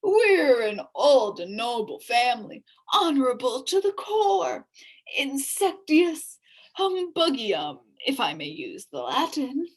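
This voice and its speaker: A woman voicing a pompous, blustering beetle-like male humbug who speaks in a booming, bombastic way. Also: sing-song, slow pace, slightly rough, heavily acted. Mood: boastful. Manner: booming, bombastic, self-important.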